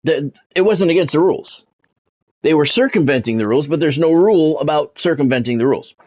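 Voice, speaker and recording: Man chatting through sports news, halting delivery. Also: fairly high pitch, expressive, darkish tone. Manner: halting, repeats words and phrases for emphasis. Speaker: livestream host, former radio play-by-play announcer. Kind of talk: casual livestream chat with sports news updates.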